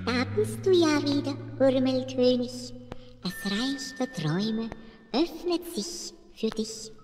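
A woman's voice: high-pitched, animated, takes it slowly, darkish tone, faint music behind. A woman voicing a mysterious, solemn dream goblin in a 1970s children's radio play. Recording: echoey hall reverb.

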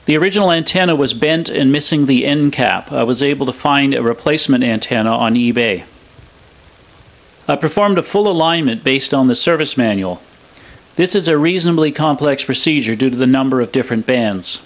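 A man's voice: medium pitch, a little fast. A man presenting in a scripted, explanatory narration style. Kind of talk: scripted narration of a radio restoration demo.

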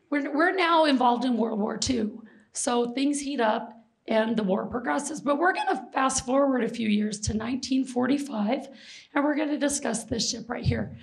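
Woman, middle-aged, hoarse and gravelly, fairly high voice, lively presenter quizzing a crowd, large auditorium, handheld mic. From Seattle, Washington. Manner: lively, jokes with the audience, asks questions. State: hoarse, losing her voice. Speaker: presenter and flight attendant. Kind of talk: public history presentation to an audience.

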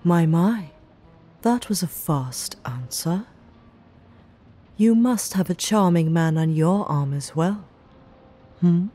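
A woman's voice: on the low side, animated, takes it slowly, pauses often, warm tone. A woman playing a playfully menacing, eccentric mob underling in an audio roleplay, speaking coyly in theatrical, old-fashioned phrases. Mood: coy, teasing. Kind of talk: ASMR audio roleplay acting.

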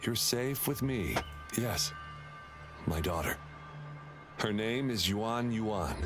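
A man playing a distraught, obsessive middle-aged father in a video game, halting and fervent. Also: lowish voice, expressive, over music. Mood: smooth, reassuring.

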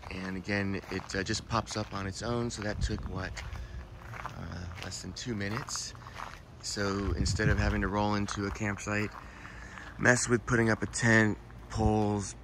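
A man's voice: lowish voice, very noisy. A man casually showing and explaining a rooftop tent while working its parts with his hands, filmed outdoors on a handheld phone. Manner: relaxed, explaining while handling gear. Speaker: product reviewer. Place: outdoors beside a car, then inside a rooftop tent.

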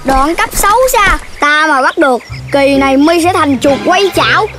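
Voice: normal speed, over music, close to an everyday voice. A performer voicing a boastful, squabbling childlike animal character in a children's cartoon, with exclamatory delivery. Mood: menacing bluster. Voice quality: childlike, very high. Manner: exclamatory, bragging, petulant.

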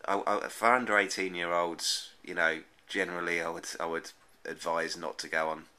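A man's voice: deep voice, little pitch movement, moderate pace, pushed and bright, clear, resonant tone. A man giving a casual, unscripted app review in a hesitant, rambling way, often repeating his words. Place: indoors at a desk, off screen.

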